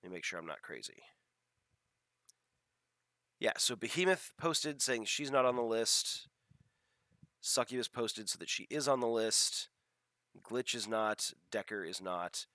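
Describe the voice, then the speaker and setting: A man's voice: fairly low pitch, expressive, unhurried, long pauses, pushed and bright, no room sound, clean studio sound. A man hosting a live game stream, chatting casually and self-deprecatingly to viewers through a headset, congested from a head cold.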